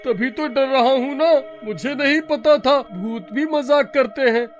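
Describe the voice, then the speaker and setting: A man playing a kind-hearted but jittery, cheeky village boy in an animated story, excitable and whiny. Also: extremely high pitch, measured pace, faint music behind. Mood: scared. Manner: excitable, whiny, stammering when scared.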